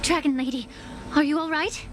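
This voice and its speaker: Woman playing an earnest, high-voiced young attendant. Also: steady tone, moderate pace, noisy background, close to an everyday voice. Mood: concerned. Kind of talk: video game cutscene acting.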